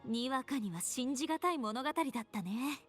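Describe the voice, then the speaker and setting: A woman's voice: high-pitched, expressive, rapid-fire. A woman voicing a composed, thoughtful young female companion in a video game. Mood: pensive. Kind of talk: Japanese video game voice acting. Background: game music.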